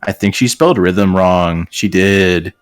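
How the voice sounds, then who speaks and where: A man's voice: low-pitched, unhurried, clean tone, soft background music. A man co-hosting a casual comedic reaction session, swearing freely and mocking what he reads out with sarcasm. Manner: profane, sarcastic. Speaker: co-host reacting to fan posts. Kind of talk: casual comedic banter, mocking read-outs.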